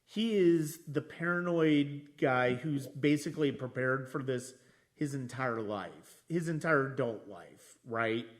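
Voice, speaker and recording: A man hosting a podcast, talking casually about films in a reflective, halting way with frequent restarts. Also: medium pitch. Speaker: podcast host. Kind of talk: casual film discussion.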